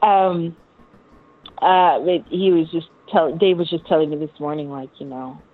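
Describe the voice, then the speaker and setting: American woman, 30, low voice, effusive slangy interviewee on a narrow phone-like line. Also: steady tone, unhurried. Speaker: interview guest, musician. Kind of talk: casual chat.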